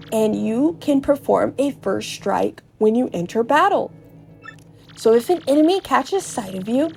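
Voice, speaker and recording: A woman's voice: on the high side, creaky, darkish tone, a little hiss or hum. A woman voicing an instructive professor from a video game, coaching a fighter in an emphatic, didactic manner.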